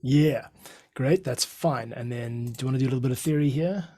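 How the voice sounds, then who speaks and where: A man's voice: medium pitch, a little fast, mellow, slight background noise. A man hosting and producing a podcast, chatting casually and giving informal, encouraging direction to a guest. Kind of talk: casual chat, coaching a guest.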